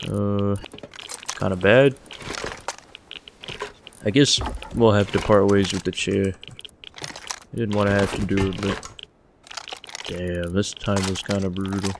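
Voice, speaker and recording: A young man with a deep voice giving casual, joking commentary while playing a survival game, swearing often as he thinks aloud. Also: fairly even intonation, slow, pauses often, background noise.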